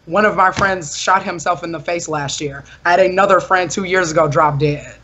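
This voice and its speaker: A young woman with a deep, loud voice, arguing firmly and defensively in a casual talk show. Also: normal speed. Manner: firm, defensive, insistent. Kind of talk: heated casual argument.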